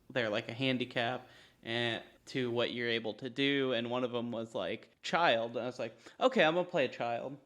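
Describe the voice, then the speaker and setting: A man's voice: medium pitch, a bit bright. A man co-hosting a casual chat about tabletop role-playing, explaining things in an informal, meandering and slightly hesitant way. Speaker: podcast co-host.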